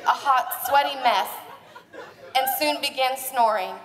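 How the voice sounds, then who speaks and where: A woman's voice: sits high, a little slow, very noisy. A woman narrating a romance parody as a live dramatic reading, with a theatrical, playful manner. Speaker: narrator and presenter. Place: live stage at a bar party. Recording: echoey live hall, distant mic.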